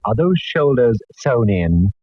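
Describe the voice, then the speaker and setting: A man playing a droll, affected gentleman sidekick in a 1940s radio drama. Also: medium pitch, big pitch swings, unhurried, husky, no room sound. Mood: smitten, droll. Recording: muffled, band-limited vintage radio recording.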